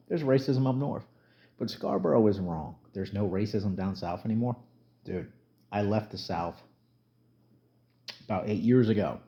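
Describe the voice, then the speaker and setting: Middle-aged New man, low voice, blunt vlog commentary; dull lo-fi sound. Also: mellow. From New Jersey, USA. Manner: blunt, conversational, emphatic rants with plain talk. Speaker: YouTube commentator. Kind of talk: casual spoken commentary vlog.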